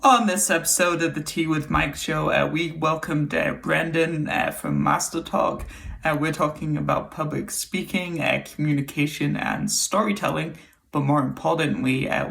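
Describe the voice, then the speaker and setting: A male podcast host with a gravelly, bright edge to his voice. He leads an interview and fills nearly every pause with "uh" as he asks questions. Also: fairly high pitch.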